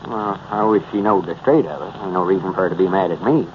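A man's voice: low-pitched, fast, close to an everyday voice. Man acting a pompous old Southern country fellow. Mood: put out. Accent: rural Southern US. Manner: pompous, folksy. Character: self-important, indignant old country fellow. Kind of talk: 1930s radio comedy acting. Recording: muffled, noisy 1930s radio recording.

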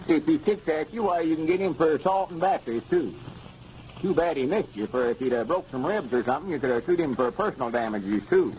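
A man's voice: on the high side, darkish tone, close to an everyday voice. Guy doing folksy southern country man playing big-shot lawyer, self important, muffled old 30s radio. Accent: rural Southern US. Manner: folksy, pompous, bad grammar. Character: eager, self-important rural storekeeper turned amateur lawyer. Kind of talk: 1930s radio comedy acting. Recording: muffled, noisy 1930s radio recording.

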